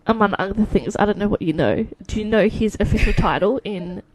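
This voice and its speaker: A woman's voice: mid-range voice, lively intonation, brisk, a little mellow, no echo, low-quality recording. A young woman co-hosting a book podcast, giggling and animatedly retelling scenes in casual chat. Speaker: podcast co-host.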